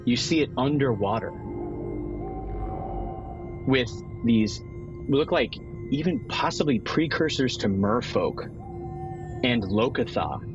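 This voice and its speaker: A man narrating a tabletop roleplaying game as game master, descriptive and pausing for dramatic effect. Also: mid-range voice, dull audio. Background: music bed.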